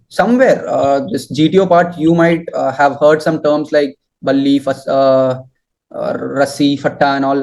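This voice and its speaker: A young man answering interview questions and giving advice in halting, deliberate phrases. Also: fairly high pitch, darkish tone, rough recording. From southern India.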